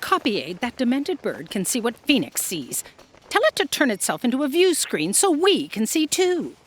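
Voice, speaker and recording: A woman playing a bossy, commanding female editor in a sci-fi comedy audio drama, with formal, crisp authority. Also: fairly high pitch, wildly swooping pitch, normal speed. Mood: demanding.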